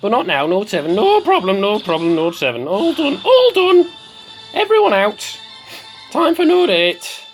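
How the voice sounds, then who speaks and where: Someone putting on the voice of a slightly mad, excitable woman doing theatrical video game commentary, repeating phrases for effect. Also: medium pitch, fairly quick, hardly any pauses, soft background music. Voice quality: put-on comic voice. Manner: theatrical, repeats phrases, swoops between squeals and murmurs.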